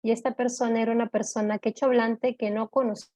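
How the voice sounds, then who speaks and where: A woman giving an online law lecture, explaining step by step, often checking in with her listeners and pausing on "eh". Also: fairly high pitch, level tone, mellow. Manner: explanatory, checks in with "¿de acuerdo?", frequent "eh" fillers.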